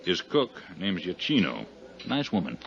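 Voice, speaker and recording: A blunt American police inspector from an old radio crime drama. His voice is low and hard-edged, terse and sardonic, on a muffled vintage recording. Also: wildly swooping pitch, unhurried, creaky, fairly bright tone, heavily acted. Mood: brisk, pointed. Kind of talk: 1950s radio drama acting.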